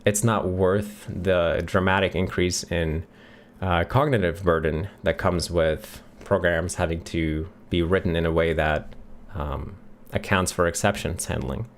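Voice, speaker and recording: Lower-voiced Swedish man, relaxed live Q&A. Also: measured pace, slight background noise. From Sweden. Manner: relaxed, thinks aloud with many ums, reads chat questions out. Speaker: livestream host answering chat questions. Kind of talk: casual live Q&A. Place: home office, indoors. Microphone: studio mic on a boom near the face.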